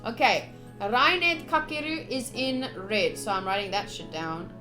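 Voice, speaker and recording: Young woman, fairly high voice, expressive game streamer, reads story text aloud, casual asides, music behind. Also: measured pace. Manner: expressive, gushy, slips into cute exclamations. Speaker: streamer playing a visual novel. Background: soft game music.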